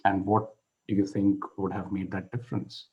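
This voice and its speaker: Low-voiced male podcast host. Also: flat delivery, moderate pace, highs cut off. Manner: frequent brief backchannels. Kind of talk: interviewing.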